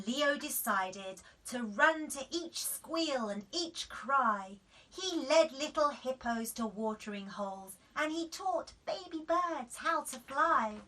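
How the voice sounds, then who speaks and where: A woman storyteller giving an expressive reading of a children's bedtime story. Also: high voice, far from the mic, poor audio.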